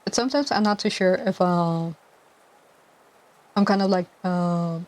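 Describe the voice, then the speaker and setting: A young woman in her twenties, soft-spoken and hesitant, chatting on a live stream while gaming, with a mic clipped to her collar. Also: fairly low pitch, measured pace. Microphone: lapel mic clipped to collar. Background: faint game music.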